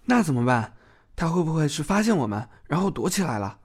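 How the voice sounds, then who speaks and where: Man acting a higher-voiced, bashful young policeman. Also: very animated, fairly quick, a little mellow, slightly rough, full character voice. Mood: alert, concerned. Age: young adult. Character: young policeman, bashful, flustered, polite boyfriend. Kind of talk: audio drama acting.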